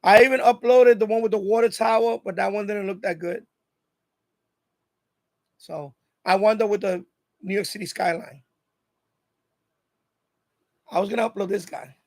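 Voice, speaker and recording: A man with a high-pitched voice hosts a casual livestream. He rambles along informally and circles back over his own phrases. The sound is dry and close, with very little room in it. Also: fairly even intonation, normal speed, long pauses, darkish tone, no echo. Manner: rambling, repeats himself. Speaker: livestream host. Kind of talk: casual live chat with viewers.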